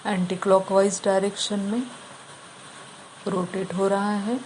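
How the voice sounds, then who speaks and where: A woman leading a guided meditation, giving instructions in short, measured phrases with long pauses between them. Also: medium pitch, flat delivery, a little mellow, a little hiss or hum, poor audio.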